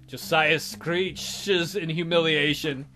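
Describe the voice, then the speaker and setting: A man's voice: a little high, steady tone, slow, bright, forceful tone. A man hosting a let's play, reading a visual novel aloud in a theatrical, jokey way. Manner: theatrical, jokey, hammy delivery. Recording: background music behind voice.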